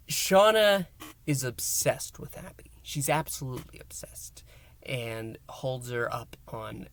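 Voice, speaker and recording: A man presents a review as the host of a channel, talking straight to his viewers. His delivery is halting and emphatic, with dramatic pauses and stressed words. His voice sits at a medium pitch. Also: vocal fry, fairly bright tone, slight background noise. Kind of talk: review and recap monologue to viewers.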